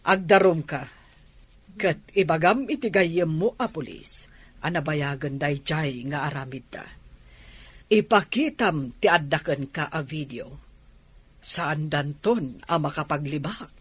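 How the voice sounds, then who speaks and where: A woman with an Ilocano accent and a husky voice playing a protective, indignant mother in an audio drama. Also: low voice, a little slow. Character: protective middle-aged mother, caring and indignant.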